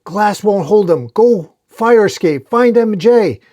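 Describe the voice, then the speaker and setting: A man's voice: a little high, normal speed, gravelly, warm tone, pitched above the performer's own voice. A man voicing an alarmed, self-sacrificing adult male protector from a comic book, barking urgent warnings. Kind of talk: comic book dialogue read aloud.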